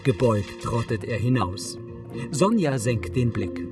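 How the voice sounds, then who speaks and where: Measured male audio description narrator. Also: medium pitch, animated. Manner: measured, matter-of-fact. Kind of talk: scripted narration of a TV series. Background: music.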